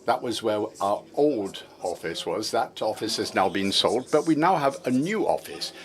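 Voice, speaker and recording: A man interpreting a formal finance report into English, measured and formal with frequent hesitations. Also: fairly low pitch, animated.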